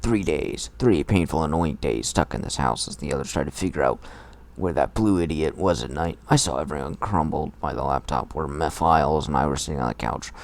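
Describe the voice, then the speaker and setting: Low-voiced man, irreverent and casual. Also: fairly quick, vocal fry, no room sound. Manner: profane, irreverent. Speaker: host reading fan fiction aloud. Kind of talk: mocking read-aloud with casual asides.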